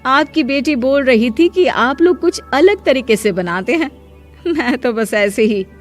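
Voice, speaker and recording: A woman's voice: high-pitched, animated, unhurried. A woman playing a firm, hospitable older mother-in-law in a moral story, with an admonishing manner. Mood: wry. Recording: music under the voice.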